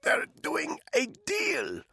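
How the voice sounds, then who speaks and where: A man voicing a captive woman spy who croaks and gasps for air as she is strangled. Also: mid-range voice, sing-song, measured pace, fairly bright tone, dry recording, pitched above the performer's own voice, full character voice. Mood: strained, fearful. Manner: croaking, strained pleading. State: choking, gasping.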